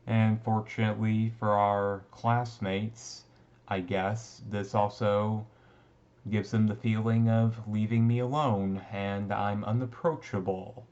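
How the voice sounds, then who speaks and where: Low, unhurried man acting a resigned teenage vampire. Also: steady tone, a little mellow, highs cut off. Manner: halting, conversational, mildly resigned. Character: brooding, easygoing male teenage vampire classmate, secretly thirty-seven. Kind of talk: ASMR roleplay, casual intimate talk.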